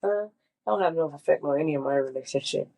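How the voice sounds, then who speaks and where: A woman's voice: low-pitched, fast talker, creaky, warm tone. A middle-aged woman, congested, talking candidly and emphatically in a casual sit-down interview. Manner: candid, emphatic, repeats words for stress. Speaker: interviewee sharing her chemo experience.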